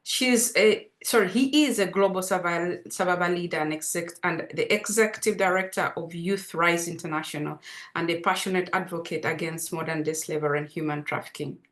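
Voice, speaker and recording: A woman with a Ugandan Dutch accent hosts an online panel, her voice sitting low. She stumbles often, with fillers and restarts. The sound comes through a video call. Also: fairly quick, fairly bright tone. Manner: hesitant, many fillers and restarts. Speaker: webinar moderator.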